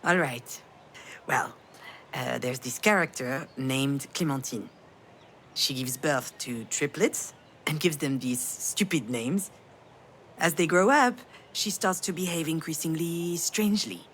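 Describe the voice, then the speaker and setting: Low-voiced, grieving mother. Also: slow pace, a little hiss or hum. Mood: gentle, storytelling. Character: damaged, grieving adult woman, a mother, reflective and tender. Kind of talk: dramatic monologue in a narrative video game.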